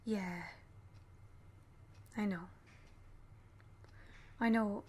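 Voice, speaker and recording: A woman acting a grieving young mother in a radio play, numb and fragile, with a hushed, breathy, hesitant delivery. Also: medium pitch, fairly even intonation. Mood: numb, polite. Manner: hesitant, halting, subdued.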